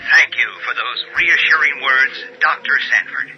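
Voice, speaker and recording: An actor playing a late-night radio news announcer with a formal broadcast delivery. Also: male voice, medium pitch, normal speed, natural voice. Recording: muffled lo-fi audio.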